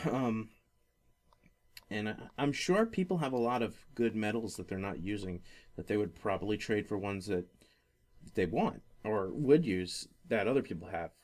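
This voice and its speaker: A man hosting a casual gaming podcast, talking in a rambling, halting way that often circles back on itself. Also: on the low side, darkish tone. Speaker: podcast host.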